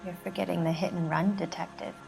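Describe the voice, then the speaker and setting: A woman's voice: fairly low pitch, little pitch movement, fast, gravelly, mellow, soft background music, rough recording. An American woman playing a brazen, evasive widow in a 1940s crime video game, with a curt, defiant manner. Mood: defiant, cagey. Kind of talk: dramatic video game acting.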